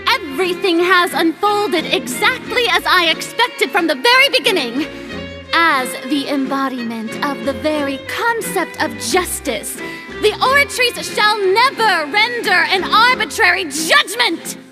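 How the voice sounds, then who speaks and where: A woman's voice: extremely high pitch, very animated, a little slow, music in the background, exaggerated voice. A woman playing a haughty young female ruler in a video game, pompous and theatrical, with bluster over nerves. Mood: grand, boastful.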